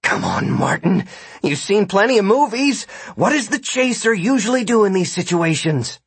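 A man voicing an excitable, exasperated, sarcastic male hero in an audiobook. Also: high voice, moderate pace, vocal fry, husky, no room sound, pitched above the performer's own voice, full character voice. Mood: thinking hard. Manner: exclamatory, sarcastic.

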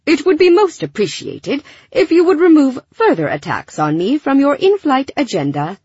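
A formal, literal-minded male robot played by a woman from an audiobook. He speaks with stiff precision at a raised pitch, oblivious and wordy, in a dry, slightly dull recording. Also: sing-song, moderate pace, darkish tone, no echo. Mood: earnest, formal.

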